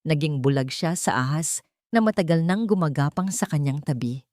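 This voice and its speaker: A woman's voice: low voice, animated, moderate pace, darkish tone, no room sound, studio quality. A woman reading a scripted love story as a dramatic storyteller.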